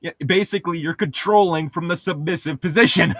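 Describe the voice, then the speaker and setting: A man's voice: fairly high pitch, fairly quick, no room sound, studio quality. A man co-hosting a podcast in casual joking banter over a book being read aloud, sarcastic and teasing. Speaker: podcast co-host.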